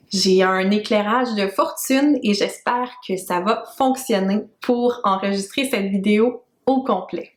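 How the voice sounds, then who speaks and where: A woman's voice: medium pitch, echoey room. A woman in her thirties with a Québécois French accent, chatting frankly to camera in a casual vlog, with plenty of fillers. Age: 30s. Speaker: freelancer vlogger. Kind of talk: casual talking-head vlog. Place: home room with makeshift lighting.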